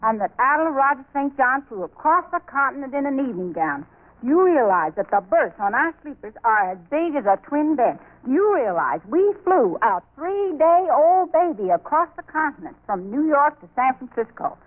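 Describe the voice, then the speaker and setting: A woman's voice: high voice, sing-song, normal speed. A woman speaking emphatically and eagerly in a declamatory 1930s radio promotional style. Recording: thin, phone-like 1930s radio recording.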